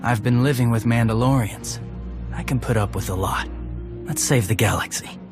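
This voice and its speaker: A man's voice: mid-range voice, normal speed, lightly acted. A man playing a stoic, loyal young warrior in a video game, laconic and understated. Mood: calm, wry. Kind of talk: scripted video game acting. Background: background music.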